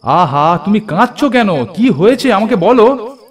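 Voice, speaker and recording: A man's voice: high-pitched, big pitch swings, measured pace, pitched above the performer's own voice. A man voicing an anxious man in a horror cartoon who calls out questions in a raised, urgent voice. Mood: anxious concern. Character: anxious, sickly man sheltering in a graveyard, calling out.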